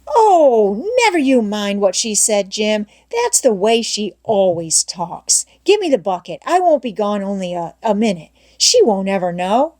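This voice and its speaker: A woman's voice: mid-range voice, sing-song, measured pace, darkish tone. A woman voicing an eager, scheming young boy from a storybook, with a coaxing, breezy, folksy way of talking. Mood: breezy, persuading.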